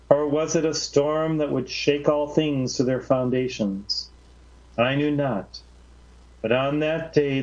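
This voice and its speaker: A man reading aloud as Mary Magdalene, a grave woman recalling how she was transformed, in a measured, reverent voice. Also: mid-range voice, darkish tone, voice pushed up, close to an everyday voice. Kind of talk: slow solemn reading of a literary monologue. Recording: slightly dull lo-fi recording.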